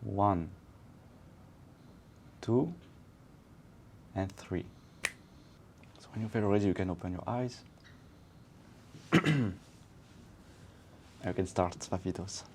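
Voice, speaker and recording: A man with a low voice who speaks in a calm, soothing, measured way, the kind of voice that guides a meditation. He co-hosts a podcast and slips easily into relaxed chat. A faint background hum sits under his voice. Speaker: podcast co-host. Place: Barcelona.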